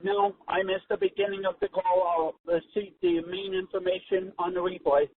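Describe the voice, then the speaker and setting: A male caller giving a casual comment in a slightly halting way over the telephone. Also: sits high, little pitch movement, a little hiss or hum. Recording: tinny telephone line.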